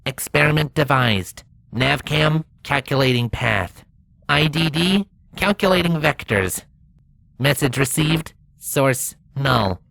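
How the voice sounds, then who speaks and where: A woman's voice: low-pitched, animated, slow pace, gravelly, a bit bright, dry recording, full character voice. A woman voicing a small, methodical robot rover in a storybook reading, clipped and procedural.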